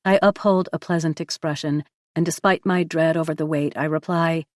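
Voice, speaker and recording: A woman with a low voice reads a novel aloud in the first person, her delivery measured and even. The sound is close and dry, with no room around it. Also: no room sound. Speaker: audiobook narrator.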